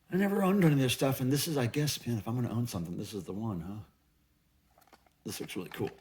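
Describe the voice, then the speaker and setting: A middle-aged man chatting casually as a vlog host, musing aloud in a rambling way with folksy, playful slang. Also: medium pitch. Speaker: video channel host. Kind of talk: casual haul vlog chat.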